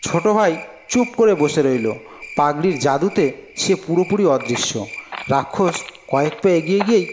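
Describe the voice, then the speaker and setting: Guy telling a fairy tale, highish voice, big reverb, music playing behind. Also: expressive, moderate pace, background noise. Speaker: storyteller. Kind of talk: scripted fairy-tale narration. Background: clear music.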